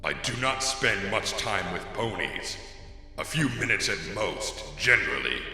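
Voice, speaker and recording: Man playing a sharp, echoing skeletal death pony. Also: on the low side, sing-song, faint music behind, exaggerated voice. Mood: dry, formal. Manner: formal, deliberate, pedantic. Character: skeletal death pony, weary, formal, awkward psychopomp. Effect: heavy echo reverb. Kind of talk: fan audio drama dialogue. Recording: very echoey with long reverb.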